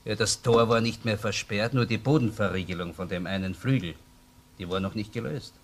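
Plain-spoken Viennese policeman, low voice. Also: brisk. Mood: matter-of-fact. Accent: Viennese Austrian. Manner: colloquial, matter-of-fact reporting. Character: plain-spoken male police officer reporting to his chief. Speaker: actor in a crime radio play. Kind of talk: German-language crime radio drama acting. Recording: noisy old radio play recording.

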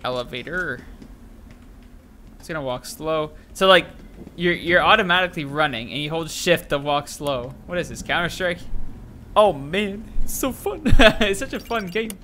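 A man's voice: fairly high pitch, moderate pace, slight background noise. A young man on a headset giving casual, jokey commentary while playing a shooter, talking back to the game. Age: young adult. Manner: excitable, jokey, talks to the game. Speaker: gaming streamer. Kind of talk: casual let's-play commentary. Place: small room at a desk.

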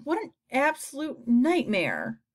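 A woman's voice: fairly high pitch, very animated, moderate pace. A woman voicing a gloomy, blind male earthworm in a storybook, complaining and snapping in melodramatic despair.

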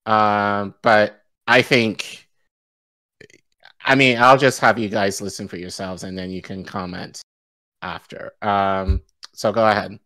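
A man's voice: lowish voice, steady tone, slow pace, bright, forceful tone, poor audio. A male co-host reading news articles aloud on a live stream, stumbling over foreign names and laughing easily. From Igbo-speaking background. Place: home studio, indoors.

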